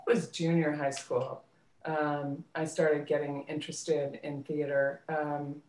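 A woman's voice: low-pitched, flat delivery, slow pace, a little mellow. An American woman telling her life story in a casual interview, reflective and often correcting herself as she goes. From United States, suburbs of Washington DC, then California. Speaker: interview guest, theater actress and director. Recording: roomy, echoey sound.

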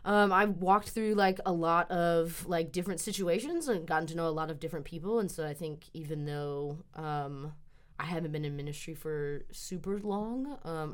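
Young woman, from Maryland, low voice, chatty, casual interview. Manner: chatty, lots of "like" and "um". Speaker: creative minister.

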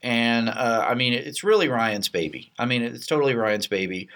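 A middle-aged American man with a medium-pitched voice co-hosts a casual podcast. He tells his stories in a loose, rambling flow with an animated delivery. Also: moderate pace. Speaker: podcast co-host. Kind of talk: casual chat. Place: podcast studio.

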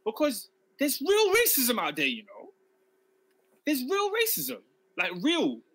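A man's voice: very high-pitched, slow pace, no room sound. A man born in Uganda co-hosting a casual chat, reading viewer comments and talking emphatically. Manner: animated, emphatic, laughs often. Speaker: livestream co-host.

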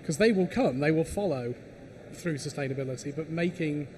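A man's voice: on the high side, brisk, noisy background. Man on a panel, stuttering restarts. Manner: stammers on restarts. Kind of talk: panel discussion.

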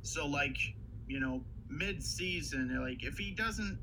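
Male co-host, higher voice, talky and explanatory, noisy recording. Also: takes it slowly, bright, forceful tone. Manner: explains points at length, fillers like "like". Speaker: podcast co-host. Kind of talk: casual sports podcast chat. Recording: audible background noise.

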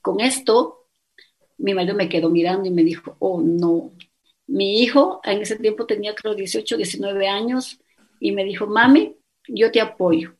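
A woman's voice: medium pitch, moderate pace, rough recording. A middle-aged woman with an Ecuadorian accent, earnestly telling her life story as an interview guest and acting out what others said to her. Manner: earnest, animated storytelling with quoted dialogue.

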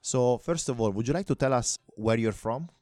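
A man's voice: mid-range voice, moderate pace, a little mellow, no room sound. A man hosting a podcast, asking questions in a casual chat. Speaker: podcast host, interviewer.

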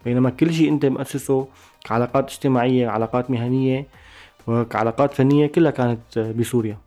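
A man with a Syrian Arabic accent, a musician giving a thoughtful interview, pausing and restarting mid-phrase. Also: mid-range voice, steady tone, mellow, faint music behind. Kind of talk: reflective interview talk.

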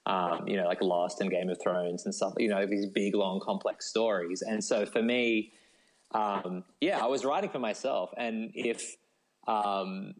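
Australian man, lowish voice, novelist being interviewed, informal and discursive, conversational filler words. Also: fairly even intonation, a little slow. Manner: informal, discursive, frequent 'like' and 'you know'.

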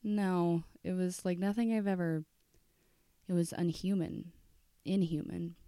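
Woman playing a spooked, halting radio caller. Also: fairly low pitch, steady tone, warm tone, clear, resonant tone, no echo, studio quality. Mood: flustered. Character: frightened woman caller telling a spooky story.

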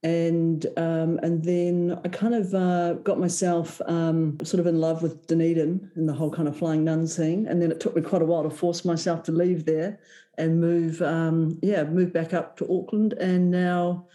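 A woman's voice: fairly low pitch, little pitch movement, normal speed, mellow. A woman with a New Zealand accent talking as a creative director guest, rambling with many ums. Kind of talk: casual interview.

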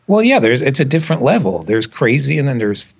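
A man's voice: mid-range voice, big pitch swings, fairly quick, vocal fry, slightly rough. A middle-aged man guesting on a podcast, bantering with profane wisecracks. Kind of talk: casual chat.

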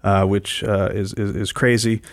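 Low-voiced man co-hosting, dry studio sound. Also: flat delivery, no room sound. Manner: hesitant, frequently pausing and repeating words. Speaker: radio co-host and festival programmer. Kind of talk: informal radio program talk, explaining events.

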